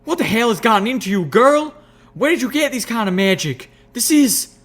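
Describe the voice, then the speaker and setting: A woman voicing a tough, blunt country mare pony who exclaims loudly with a rural drawl. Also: on the high side, expressive, normal speed, pitched above the performer's own voice. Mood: alarmed. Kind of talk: audiobook reading of fan fiction.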